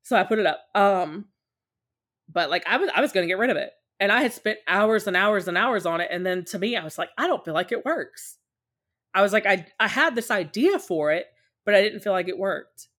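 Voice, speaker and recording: A woman's voice: medium pitch, animated, fairly quick, fairly bright tone. A woman talking casually and candidly as a podcast guest, at length and in a conversational way. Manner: talkative and candid, often acting out short quotes. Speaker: podcast guest, podfic creator.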